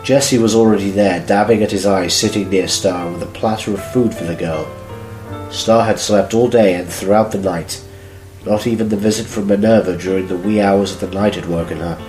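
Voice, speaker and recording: A low male voice narrates a dramatic story in scripted prose. The recording is roomy, with music playing softly behind him. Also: fairly even intonation, a little fast.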